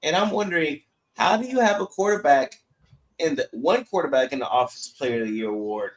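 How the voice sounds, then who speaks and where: A man's voice: a little high, lively intonation, brisk, rough recording. A man co-hosting a sports podcast, giving casual, opinionated takes emphatically and arguing through rhetorical questions.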